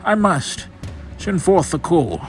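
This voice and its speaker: Man acting a grave, high-pitched commanding elder leader. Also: very animated, slow, soft background music, heavily acted. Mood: resolute, sorrowful. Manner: solemn, proclamatory oratory. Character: grave, commanding elder male Prime Director of an alien world. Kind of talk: dramatic comic-book story reading.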